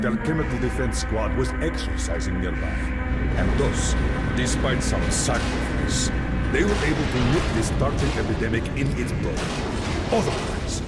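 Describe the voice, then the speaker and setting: A man's voice: mid-range voice, close to an everyday voice. An actor playing a skeptical male military colonel in a dramatic video game, curt, commanding and combative. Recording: long reverb, music underneath. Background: music.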